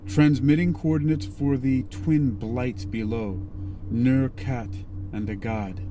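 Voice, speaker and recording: A man's voice: medium pitch, steady tone, slow pace, slight background noise. A man improvising a sci-fi role-play as a stern, vengeful space commander. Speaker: creator role-playing with an AI assistant. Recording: loud music behind the voice. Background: loud music.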